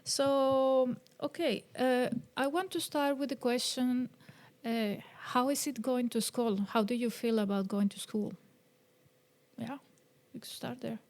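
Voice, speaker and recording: A woman from Colombia, hosting a casual bilingual podcast chat and filling pauses with "um" and "uh". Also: on the high side. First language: Spanish. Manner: relaxed, chatty, with many fillers. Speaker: podcast host.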